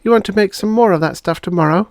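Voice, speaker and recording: A man's voice: high-pitched, quick pace, creaky, no room sound, studio quality, pitched above the performer's own voice. A man voicing an eager, whiny little girl, a kid sister in an audiobook story. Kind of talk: audiobook character voice.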